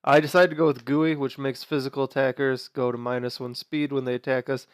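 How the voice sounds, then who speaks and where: A young man hosting a gaming video, talking casually through his team choices while sick, sounding nasal and quieter than usual. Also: mid-range voice, little pitch movement, brisk, clean tone, dry recording, clean studio sound. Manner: chatty, explains team choices with fillers and restarts. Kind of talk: casual gameplay commentary.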